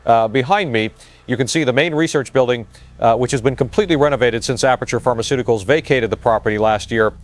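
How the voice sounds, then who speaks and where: A man's voice: mid-range voice, quick pace, lightly acted. A man playing a matter-of-fact field correspondent, giving a live news report with slight hesitations. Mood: calm, matter-of-fact.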